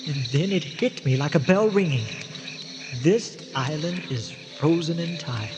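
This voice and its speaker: An actor playing an earnest, eccentric castaway scientist in a radio drama, speaking formally with hesitant stammers. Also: male voice, a little high, animated, unhurried. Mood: earnest wonder. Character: eccentric, long-stranded scientist explorer, earnest and courtly. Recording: noisy radio drama, long cavernous reverb.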